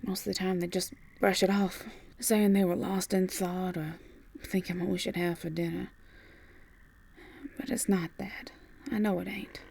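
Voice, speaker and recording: A woman playing a tender, worried Southern US farmer sweetheart in an audio roleplay, in a breathy, husky voice that is often near a whisper, with a soft, halting drawl. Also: fairly low pitch, flat delivery, a little hiss or hum. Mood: wistful, worried. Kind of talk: ASMR audio roleplay acting.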